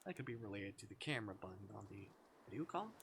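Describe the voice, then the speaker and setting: Bright-voiced man reading a game aloud. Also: on the low side, big pitch swings, fast talker, slight background noise. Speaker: live streamer reading a visual novel aloud.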